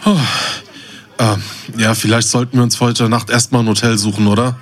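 A man's voice: mid-range voice, flat delivery, brisk, close to an everyday voice. A man playing a brash, cheeky buddy in a comedy audio drama, talking casually in teasing slang. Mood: curious.

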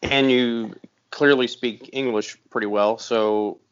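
A man's voice: mid-range voice, fairly even intonation, slow pace, clear, resonant tone. A man co-hosting a podcast, joking and ribbing his fellow host. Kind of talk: casual podcast banter. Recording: slightly muffled audio.

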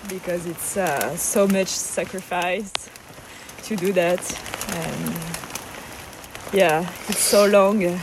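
A woman hiker from Paris, speaking outdoors in a halting way and searching for words. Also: on the low side, background noise. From Paris, France. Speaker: thru-hiker. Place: outdoors at a trail's end in rain.

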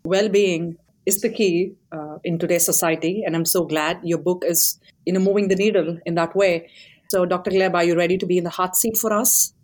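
A woman's voice: lowish voice, steady tone, fairly quick, darkish tone. A woman hosting a podcast interview, speaking in a friendly, affirming way with some fillers. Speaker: podcast host.

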